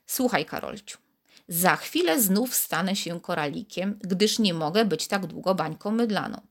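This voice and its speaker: A woman's voice: expressive, moderate pace, fairly bright tone. Woman putting on a thin little bead voice. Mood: solemn. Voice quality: thin, high. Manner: grumbling, impatient. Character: magic blue bead, small male, fussy.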